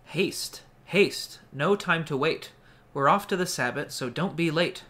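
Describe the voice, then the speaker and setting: A man's voice: a little high, a little slow, bright, forceful tone. A man reading scripted ritual lines aloud as a recitation. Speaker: co-reader of ritual parts.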